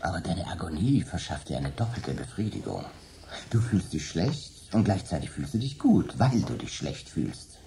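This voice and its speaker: A man's voice: low-pitched, moderate pace, creaky, slight background noise, full character voice. A wry, cynical man. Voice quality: ironic, lively delivery. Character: cynical, brandy-drinking man named Dan. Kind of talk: radio play acting.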